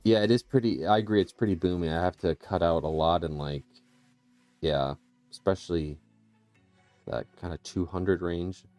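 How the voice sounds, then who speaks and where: A man's voice: low voice, normal speed, darkish tone, no echo, soft background music. A man hosting a live painting stream, chatting casually with viewers and explaining his work, often trailing off and restarting sentences. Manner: rambling, self-interrupting, explains as he works. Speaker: livestream host and digital painter. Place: small room with tile floors. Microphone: close condenser mic with processing.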